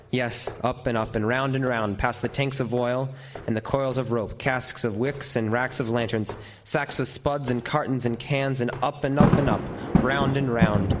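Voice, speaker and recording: A man's voice: mid-range voice, background noise, natural voice. A man playing a lighthouse keeper who tells his tale as dramatic first-person narration in old-time radio horror style. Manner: vivid, deliberate storytelling with lingering descriptions. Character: lighthouse keeper recounting a frightful night.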